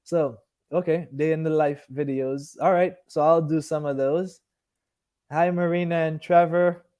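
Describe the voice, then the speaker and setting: A man in his early thirties who grew up in Haiti and Miami speaks with a fairly high voice. He hosts a casual live stream, chatting to viewers in a loose, rambling style. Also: steady tone, measured pace, darkish tone, clean tone, dull audio. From Haiti, moved to Miami at fourteen. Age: early 30s. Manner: rambling, loses and regains his thread. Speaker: vlogger hosting a live stream. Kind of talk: casual chat, answering live comments.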